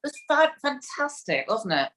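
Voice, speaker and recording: A woman's voice: sits high, big pitch swings, measured pace, fairly bright tone. A middle-aged woman chatting casually as a co-host on an online panel show. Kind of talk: casual chat. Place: at home indoors on a video call.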